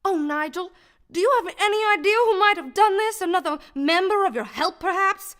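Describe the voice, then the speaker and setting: A ditzy damsel from a comic radio mystery played by a woman, with an extremely high, bright voice. She is gushing and melodramatic, in an old-time heroine style. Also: brisk. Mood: concerned, prim. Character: dramatic, ditzy, always-hungry 1940s-style mystery heroine.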